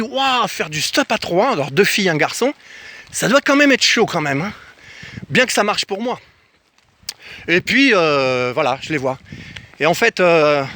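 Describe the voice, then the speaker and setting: Bright-voiced man, animated storyteller. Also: high voice, a little hiss or hum. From France. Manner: animated, acts out reported dialogue. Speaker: travel vlogger. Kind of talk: casual personal storytelling to camera. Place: outdoors in the countryside.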